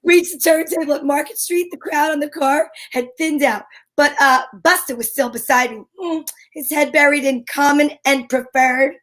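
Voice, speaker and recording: A wisecracking female private eye, played by a woman tells her case in old-time radio fashion. Her voice is high, her delivery wry and self-assured with deadpan quips. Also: normal speed. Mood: dry, storytelling.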